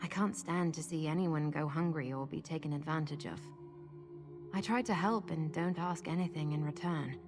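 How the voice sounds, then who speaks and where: An actress playing a guarded, kind-hearted wanderer in a fantasy video game, with a wry, understated delivery. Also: female voice, low-pitched, moderate pace, over music, lightly acted. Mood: wry, earnest.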